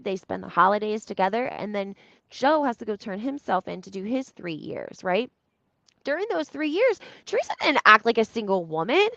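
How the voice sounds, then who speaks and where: A woman's voice: a little high, fairly bright tone. A woman giving casual, opinionated reality TV commentary in a conversational, emphatic way, using rhetorical questions and repetition. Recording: roomy echo, slightly dull lo-fi sound.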